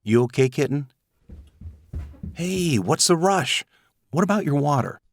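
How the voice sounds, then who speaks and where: A man's voice: fairly high pitch, lively intonation, unhurried, gravelly, no echo, studio quality, heavily acted. A man playing an affectionate, courteous suburban father in an audio drama, with a mild manner. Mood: concerned, fond.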